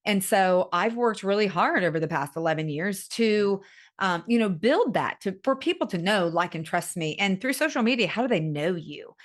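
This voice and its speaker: A woman's voice: medium pitch, lively intonation, moderate pace, few pauses. A middle-aged woman hosting a podcast interview, chatting candidly and with self-deprecating humor. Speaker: podcast host. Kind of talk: conversational interview.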